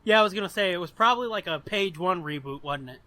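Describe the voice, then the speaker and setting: A man with a high, bright voice, recorded dry. He chats casually as a podcast co-host, informal and blunt, swearing freely as he talks pop culture. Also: expressive, quick pace, no echo. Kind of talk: casual pop-culture chat, reading listener questions.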